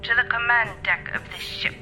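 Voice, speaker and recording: A woman's voice: a little high, fairly even intonation, normal speed, sharp tone, soft background music. Stern, piercing Valkyrie guard played by a woman. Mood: stern, commanding. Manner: clipped, formal, commanding. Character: stern, formal armored Valkyrie warrior guard, obedient. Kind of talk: audio drama acting.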